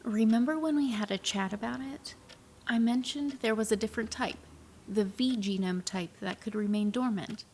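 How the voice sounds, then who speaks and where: An actress playing a composed grown daughter in an audio drama, with a clear explanatory manner. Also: female voice, fairly high pitch, normal speed, a little mellow, slight background noise, lightly acted.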